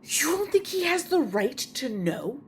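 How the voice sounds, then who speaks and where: Lady acting as troubled young woman, slow halting talk, lots of pitch movement, slight room noise. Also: very animated. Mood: angry, anguished. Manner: hesitant, halting, emotional. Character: anguished, secretive young woman confronting a friend. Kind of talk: naturalistic audio drama acting.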